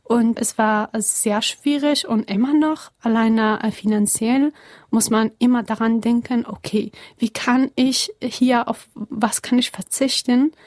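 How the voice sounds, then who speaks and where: Soft-spoken young woman from Afghanistan. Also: on the high side, steady tone, no room sound, clean studio sound. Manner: halting non-native German with pauses and fillers. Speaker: interviewee. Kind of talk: earnest interview answers.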